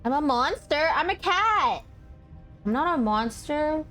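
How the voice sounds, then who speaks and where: A woman's voice: high voice, expressive, measured pace, a bit bright, faint music behind. A woman streaming a video game, commenting casually and reading dialogue choices aloud.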